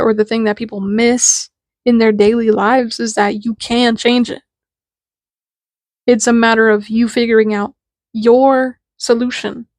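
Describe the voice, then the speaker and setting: A woman's voice: on the high side, dry recording. A woman in her twenties with a nasal voice, talking in a casual, rambling and candid monologue as the host. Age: 20s. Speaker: podcast host.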